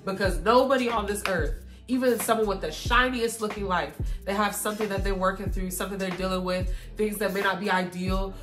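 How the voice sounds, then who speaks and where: A young woman vlogging in a casual, encouraging way, her voice hoarse and congested, talking straight to her viewers. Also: medium pitch, fairly even intonation, brisk, hardly any pauses, a bit bright, echoey room, faint music behind. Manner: encouraging, preachy, addresses viewers directly. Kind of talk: casual faith-filled catch-up talk.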